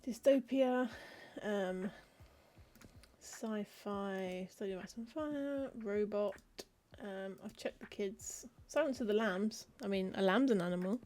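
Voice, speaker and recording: A woman's voice: medium pitch, slow, a little mellow. A woman chatting casually as she plays a cozy game, muttering through lists of book titles with wry asides. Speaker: streamer playing a cozy game. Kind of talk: casual gameplay commentary, reading game text aloud.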